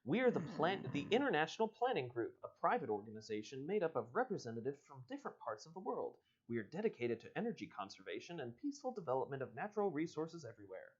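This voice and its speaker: A man's voice: on the high side, sing-song, fast talker, few pauses, husky, dull audio. A man voicing a courteous, evasive spokesman of a secretive organization in a storybook, speaking formally and politely. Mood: smooth recruiting pitch. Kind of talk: storybook character reading.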